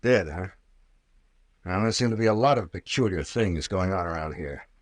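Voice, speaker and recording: An actor playing a tough, stern private investigator in an old-time radio drama, curt and commanding. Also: male voice, low-pitched, expressive, moderate pace, no room sound, clean studio sound. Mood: stern, firm. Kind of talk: 1940s radio acting.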